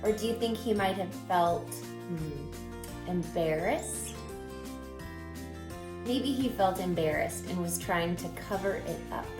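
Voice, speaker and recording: A woman teacher reading a picture book aloud, expressive and asking the listener questions. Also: fairly low pitch, slow pace, pauses often, music in the background. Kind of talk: children's read-aloud. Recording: roomy echo.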